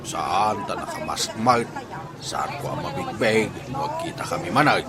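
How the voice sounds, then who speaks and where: A man's voice: deep voice, a little slow, over a noisy crowd, heavy background noise. A man playing a scheming, easily flustered peddler of fake medicine in an Ilocano comedy drama, with an Ilocano accent and exclamatory delivery.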